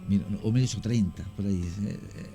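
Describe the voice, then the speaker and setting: A man's voice: fairly low pitch, dark tone, soft background music. Chilean man telling eerie cemetery tales. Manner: unhurried, many fillers and restarts, anecdotal. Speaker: guest storyteller. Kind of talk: radio conversation, recounting cases.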